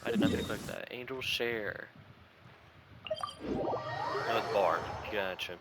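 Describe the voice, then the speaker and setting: A man's voice: fairly low pitch, slow pace, heavy background noise. A young man casually commenting on his gameplay, laid-back and slangy. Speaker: gaming streamer.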